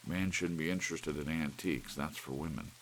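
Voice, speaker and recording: A man's voice: rumbling low, brisk, fairly bright tone. A man voicing a bitter, menacing husband in an audiobook, demanding and taunting, with rain and hiss behind him. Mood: accusing, demanding. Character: bitter, menacing husband talking angrily to his clock.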